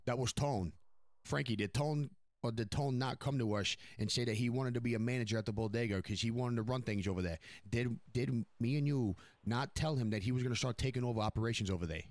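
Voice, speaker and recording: A man's voice: mid-range voice, fast, darkish tone, no echo, natural voice. A man playing a stern, blunt, profane mafia boss in an improvised online game roleplay. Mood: pressing, irritated. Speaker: streamer role-playing a crime family boss.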